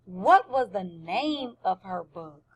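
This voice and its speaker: Slow-paced woman teacher reading aloud. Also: mid-range voice, lively intonation, slightly rough. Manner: deliberate, emphatic, questioning children and pausing for answers. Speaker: first grade teacher. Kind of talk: read-aloud lesson for young children.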